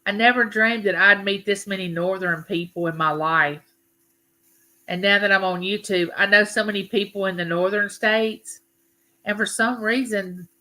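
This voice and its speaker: A woman's voice: medium pitch, bright, forceful tone, low-quality recording. A woman in her fifties with a Southern US accent, chatting casually with viewers as host of her own livestream, folksy and drawn out. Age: 50s. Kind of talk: casual live chat with viewers.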